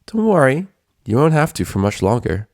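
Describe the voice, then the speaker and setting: Man putting on a cruel mocking unicorn mare, pitched up. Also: big pitch swings, moderate pace, darkish tone, no echo, studio quality. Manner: scolding, mocking, menacing. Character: cruel gleeful sadistic female unicorn pony.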